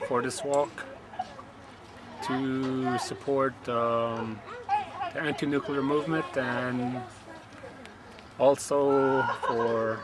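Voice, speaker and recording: An American man from Arizona speaks slowly and haltingly about joining a protest walk. A crowd can be heard behind him outdoors. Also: medium pitch. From Arizona, USA. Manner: slow, halting. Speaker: walker on a protest march. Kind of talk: casual outdoor interview.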